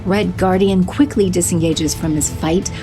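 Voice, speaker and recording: A woman narrating a superhero action audio drama in a steady, descriptive storytelling manner. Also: lowish voice, moderate pace, music in the background.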